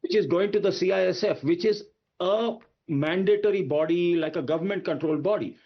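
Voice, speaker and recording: A man with an Indian accent speaks as an expert panelist on a news debate, pressing his points emphatically. His voice is a bit high for a man, and the audio is somewhat muffled and lo-fi. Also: a little fast. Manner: emphatic, argumentative, with rhetorical questions. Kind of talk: spoken analysis on a news debate.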